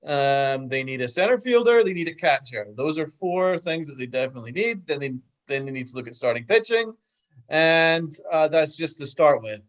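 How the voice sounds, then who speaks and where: A man's voice: on the high side, lively intonation, normal speed. Blunt man on a video call. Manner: blunt, emphatic opinions. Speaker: podcast co-host. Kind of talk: casual sports chat.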